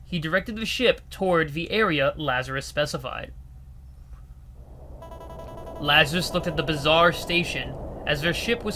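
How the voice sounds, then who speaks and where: A man narrating a visual novel story in a steady, expressive reading. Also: a little high. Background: faint music.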